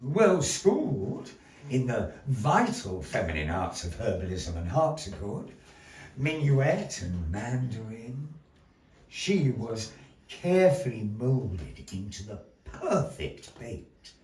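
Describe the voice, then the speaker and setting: A man telling a dark fairy tale live in a florid, dramatic manner, with deliberate pauses. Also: medium pitch, very animated, a little hiss or hum. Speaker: storyteller in a puppet show. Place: indoor gallery performance space. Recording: echoey room, distant mic.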